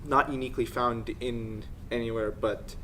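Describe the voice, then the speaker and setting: A man's voice: mid-range voice, steady tone, normal speed, fairly bright tone, a little hiss or hum. Man from Egypt, explaining patiently. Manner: explanatory, with many um fillers. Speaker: student. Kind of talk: interview answers.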